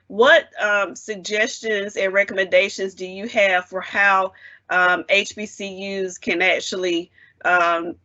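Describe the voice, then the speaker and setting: A woman with a bright, tense voice moderates a panel over dull, lo-fi call audio. She asks long, winding questions and pauses on "um" again and again. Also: mid-range voice.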